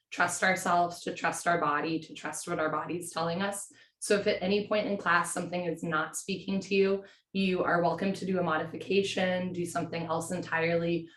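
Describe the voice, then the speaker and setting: Casting: hoarse female yoga teacher, low voice, gentle and slow cues, roomy echo. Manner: slow, gentle, soothing instruction. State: hoarse from a cold. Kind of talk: guided yin yoga class. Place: home.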